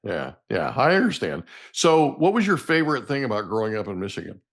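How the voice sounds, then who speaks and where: A man's voice: mid-range voice, big pitch swings, brisk. A man hosting an interview podcast, welcoming his guest with friendly, affirming interjections. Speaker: podcast host. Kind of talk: interview and read ad.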